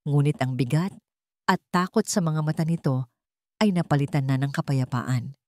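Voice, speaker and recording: A woman's voice: sits low, moderate pace, warm tone, dry recording, studio quality. A woman reading a scripted dramatic story as a storyteller.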